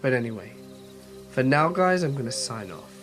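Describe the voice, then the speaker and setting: A man's voice: medium pitch, sing-song, normal speed, over music. A man with a slight head cold, hosting and narrating a horror story channel in a scripted storytelling style.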